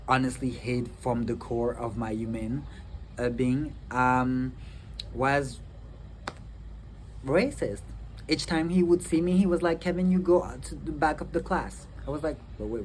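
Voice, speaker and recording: French-speaking man talking slowly outdoors. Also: medium pitch, low-quality recording. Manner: halting, many ums and restarts. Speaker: vlogger. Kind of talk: casual talk to camera. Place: outdoors in wind. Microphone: phone on a tripod. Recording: wind noise on a phone mic. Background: wind and outdoor ambience.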